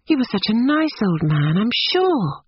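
A man voicing an earnest, high-pitched young lady. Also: big pitch swings, unhurried, breathy edge, dry recording, lo-fi sound, heavily acted. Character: earnest, spirited young upper-class woman. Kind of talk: audiobook character dialogue.

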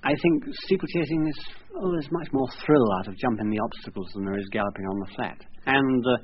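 A man from Wales, a jockey, answering questions in an archive radio interview in a modest, polite, unhurried way. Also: mid-range voice, slightly rough. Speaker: jockey guest. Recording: dull old radio recording with faint hiss.

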